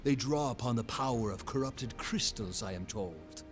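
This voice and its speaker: An actor playing a courteous military marshal in a video game, explaining tactics in deliberate, formal, old-fashioned phrases. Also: male voice, mid-range voice, natural voice. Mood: measured, confident. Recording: game music underneath.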